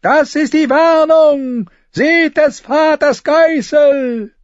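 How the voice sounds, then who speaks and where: A man voicing a fanatical begging-friar street prophet in an audiobook, shrill and strained, preaching with declamatory fervour. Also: takes it slowly, no room sound, dull audio. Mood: alarmed warning. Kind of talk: dramatised audiobook character.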